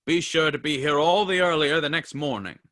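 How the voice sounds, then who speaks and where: A man's voice: a little high, a little fast, sharp tone. A man voicing a stingy old male employer in a radio play, curt and grumbling. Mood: grumpy.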